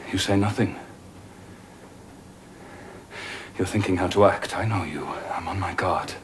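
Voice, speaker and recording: An actor playing a brooding, passionate gentleman in a period drama, intense and pleading, shifting between hushed murmurs and outbursts. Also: low-pitched, brisk, a little hiss or hum, natural voice. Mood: guarded. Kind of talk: period film acting.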